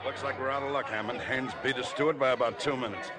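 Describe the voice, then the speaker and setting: An actor playing a gruffly kind, unhurried police detective in 1950s radio drama, speaking colloquially. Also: male voice, mid-range voice, steady tone, over loud music, a loud crowd, lightly acted. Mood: relaxed. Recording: vintage 1950s radio broadcast.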